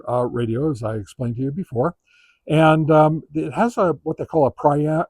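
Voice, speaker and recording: A man giving a casual product review as a monologue, halting and often pausing mid-sentence. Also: medium pitch, darkish tone, no echo. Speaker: radio gear reviewer.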